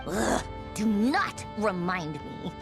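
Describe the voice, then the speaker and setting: A woman's voice: medium pitch, very animated, slow, music in the background. A woman playing a proud young female scientist villain in an anime game, haughty and theatrical. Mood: exasperated.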